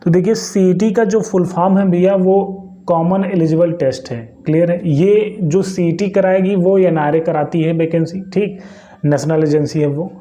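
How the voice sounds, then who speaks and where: Chatty man with a dark, mellow voice. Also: sits high, fairly even intonation, normal speed. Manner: chatty, repeats phrases, asks "clear?". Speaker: exam coaching channel host. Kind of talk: casual explainer talk to camera.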